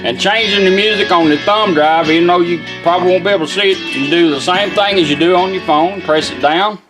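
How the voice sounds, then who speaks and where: Man demonstrating gear, higher-pitched voice. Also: fairly quick, music in the background, poor audio. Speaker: product demonstrator, car radio builder. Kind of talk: casual hands-on product demonstration.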